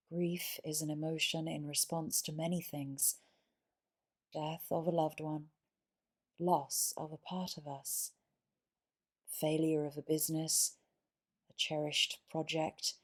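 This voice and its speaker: Slow, low-pitched woman guiding meditation. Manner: soothing, measured, deliberate pauses between short phrases. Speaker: yoga nidra guide. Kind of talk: guided meditation narration.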